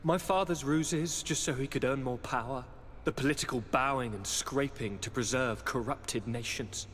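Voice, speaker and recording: Actor as grandiose young villain in a reverberant hall. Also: male voice, mid-range voice, normal speed, a bit bright, a little hiss or hum, lightly acted. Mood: grandiose, fervent. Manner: theatrical, impassioned, grandiose. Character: zealous young nobleman turned power-hungry would-be god. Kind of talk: dramatic video game cutscene acting. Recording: long hall reverb, faint ambience.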